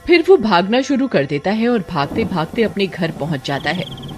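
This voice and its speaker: Animated woman narrating a horror story. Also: mid-range voice, moderate pace, a little hiss or hum. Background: music.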